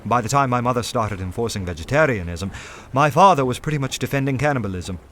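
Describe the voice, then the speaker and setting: Casting: male actor as cultured artist-detective for radio drama; dry wit, crisp theatrical diction, background sound. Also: medium pitch, lively intonation, fast, a bit bright, close to an everyday voice. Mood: wry, measured. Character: wry, cultured artist turned undercover detective. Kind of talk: dramatised first-person narration and dialogue. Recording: music or ambient noise behind.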